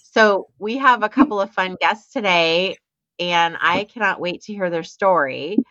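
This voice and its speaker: A woman's voice: on the low side, measured pace, sharp tone, dull audio. A woman co-hosting a podcast, chatting casually and rambling with restarts. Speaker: podcast co-host. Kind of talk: casual chat.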